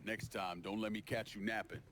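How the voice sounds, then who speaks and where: An actor playing a cool, blunt, threatening war veteran out for revenge in a video game, speaking with an American accent and tight control. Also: male voice, on the low side, steady tone, fairly quick, fairly bright tone, a little hiss or hum, natural voice. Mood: firm, threatening. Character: cool, menacing male Vietnam veteran turned avenger. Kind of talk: dramatic video game cutscene acting.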